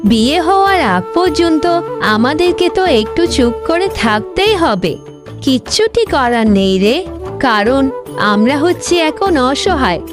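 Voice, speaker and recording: Woman as weary eldest sister-in-law, high lively voice. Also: measured pace. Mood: hushing, resigned. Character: weary, cautious eldest sister-in-law keeping the household together. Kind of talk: melodramatic Bengali cartoon dialogue. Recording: clear music behind the voice.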